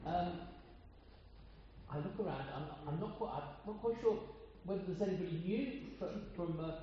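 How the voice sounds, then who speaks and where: An older man from with a loud voice, preaching an informal sermon in a chatty, meandering way with frequent pauses. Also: sits high, slight background noise. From Coventry, England. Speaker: lay preacher. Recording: echoey hall sound, dull lo-fi.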